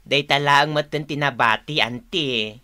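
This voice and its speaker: A woman playing an exasperated younger woman in a radio drama, expressive and protesting, with an Ilocano accent. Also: low-pitched, measured pace. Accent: Ilocano, northern Philippines.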